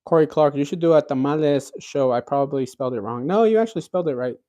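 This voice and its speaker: A man's voice: a little high, fast talker, warm tone. A man in his thirties hosting a cooking livestream, chatting casually with viewers and reading out their comments, rambling with lots of fillers and restarts. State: recovering from a cold.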